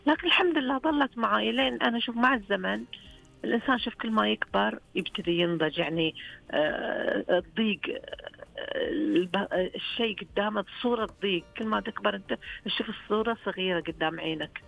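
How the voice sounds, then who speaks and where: A woman's voice: mid-range voice, fast talker, creaky, a bit bright, muffled recording, faint music behind. A woman with a Saihat Saudi accent, calling in to a radio show and chatting earnestly and frankly. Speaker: radio call-in caller. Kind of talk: casual phone-in chat.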